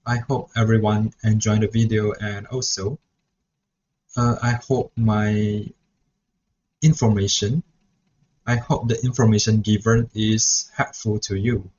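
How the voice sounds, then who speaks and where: A man's voice: on the low side, flat delivery, unhurried, a little mellow, slight background noise. A young man who grew up speaking Mandarin, talks through a reflection vlog as a student teacher, hesitant and full of "so yeah" restarts. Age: young adult. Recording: dull lo-fi recording.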